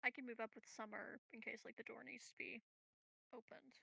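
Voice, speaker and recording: A woman's voice: a little high, steady tone, brisk, gravelly, sharp tone, slightly rough, no room sound, low-quality recording. A woman chatting casually as a player in an online tabletop game, tentative and thinking aloud.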